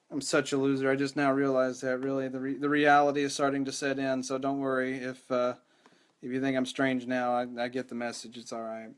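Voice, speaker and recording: Hoarse, rattly-voiced man hosting live. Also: medium pitch. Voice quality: rattly, strained voice. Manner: rambling, self-deprecating, many restarts. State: hoarse, losing his voice. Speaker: podcast host. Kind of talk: casual live-stream chat. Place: home room with mic. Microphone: studio microphone.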